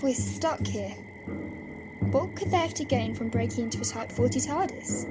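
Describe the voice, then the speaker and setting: A woman's voice: on the high side, animated, normal speed, close to an everyday voice. A woman playing a curious, earnest young granddaughter, polite but outspoken, in a fan audio drama. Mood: worried. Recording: music underneath.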